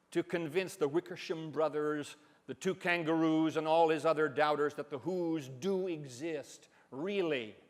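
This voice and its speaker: A man with a fairly high voice presents and reads on stage. His delivery is theatrical, deliberate and expressive, and long hall reverb trails behind it. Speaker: professor giving a dramatic reading.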